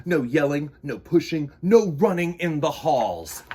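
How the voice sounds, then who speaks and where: A man voicing a strict, exasperated schoolteacher in a read-aloud storybook, calling out rules in a loud, slow, drawn-out way. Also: a little high, big pitch swings, breathy edge, heavily acted. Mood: scolding.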